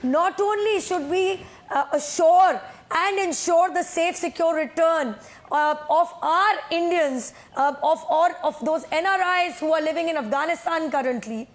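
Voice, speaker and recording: A woman with a very high voice argues on a television news panel. She presses her points insistently over interruptions, and her voice is getting hoarse. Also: a little slow. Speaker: political panelist. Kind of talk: heated TV news debate.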